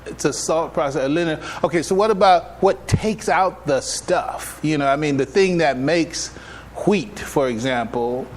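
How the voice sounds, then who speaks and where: Guy giving an islamic lecture, slightly high voice, halting n conversational, repeats himself, bit of a noisy live recording. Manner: conversational, halting, often repeats phrases and checks in with "right?". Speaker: imam giving a public lecture. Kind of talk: religious lecture, explaining to an audience.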